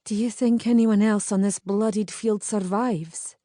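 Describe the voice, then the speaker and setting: A woman voicing a bold, steady young Scottish woman with a firm, commanding manner, in a Scottish accent. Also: mid-range voice, measured pace, no room sound, clean studio sound, close to an everyday voice. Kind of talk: audiobook character voice.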